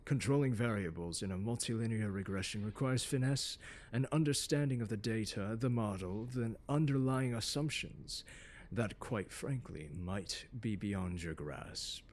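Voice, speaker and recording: A man acting as a haughty male genius scholar in an ASMR roleplay. His voice is fairly low and husky. He lectures his pupil with deliberate, articulate diction and open, mocking condescension. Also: expressive, a little mellow. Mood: disdainful. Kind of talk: ASMR roleplay monologue, second-person lecture.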